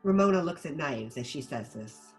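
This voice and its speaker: A woman reading stage directions as scripted narration at a table read, in an animated manner. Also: low voice, moderate pace, faint music behind.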